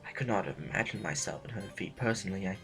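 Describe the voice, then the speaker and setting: An actor playing a deferential, earnest council master in an audio drama, halting and politely formal, a stickler for etiquette. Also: male voice, low-pitched, fairly bright tone, soft background music. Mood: earnest, gentle correction.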